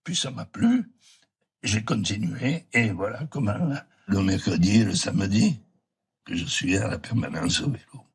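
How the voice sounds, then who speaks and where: Local bike-repair volunteer; rough husky voice, animated delivery. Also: male voice, on the low side, normal speed. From Aix-en-Provence.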